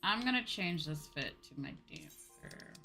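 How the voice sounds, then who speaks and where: Bright-voiced woman chatting on a game stream. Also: sits low, wildly swooping pitch, unhurried, gravelly. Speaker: livestreamer. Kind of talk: casual gaming stream chat. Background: music.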